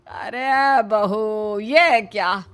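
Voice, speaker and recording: A woman's voice: mid-range voice, very slow, fairly bright tone, clean tone, faint music behind. A woman voicing a caring, mildly exasperated middle-aged mother-in-law in a cartoon story, with a motherly, remonstrating manner.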